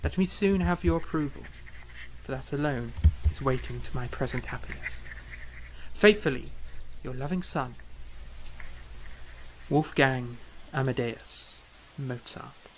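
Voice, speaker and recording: A man's voice: mid-range voice, measured pace, background noise, close to an everyday voice. A man playing a proud, fiery young eighteenth-century composer in a period audio drama, speaking formally and emphatically with theatrical flair. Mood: earnest, proud.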